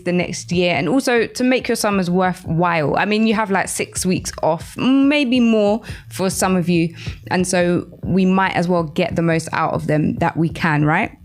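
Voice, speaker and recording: Lower-pitched woman hosting a radio show. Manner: addresses listeners directly with questions and prompts. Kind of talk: educational radio show presenting.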